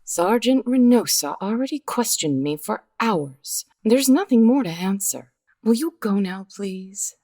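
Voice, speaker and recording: An actress playing a guarded, weary 1950s widow in a radio mystery, with a hesitant, melodramatic delivery. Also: female voice, mid-range voice, lively intonation, a little slow, mellow. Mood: defensive. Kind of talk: 1950s radio drama acting.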